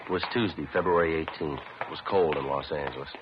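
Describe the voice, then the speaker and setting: An American man playing a terse, steady police detective sergeant in a 1950s radio drama, narrating in a deadpan, matter-of-fact way. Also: very deep voice, fast, background noise. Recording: muffled old 1950s radio recording.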